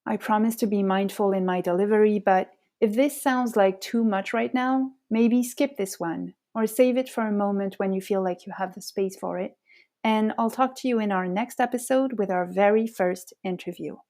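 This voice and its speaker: Mellow French woman narrating a podcast. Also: mid-range voice, moderate pace. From France, Paris suburbs. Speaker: podcast host. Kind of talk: scripted personal narration.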